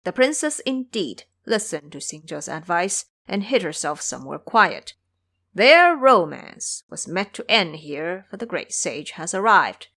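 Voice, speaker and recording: A woman's voice: medium pitch, sharp tone. A woman narrator telling a story in scripted audio drama narration.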